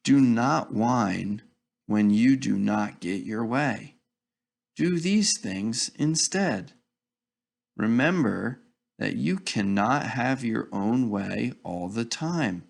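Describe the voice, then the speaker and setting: A man reading a children's picture book aloud in a deliberate, instructive way, as if to young children. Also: medium pitch, animated, gravelly. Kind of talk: read-aloud storybook narration.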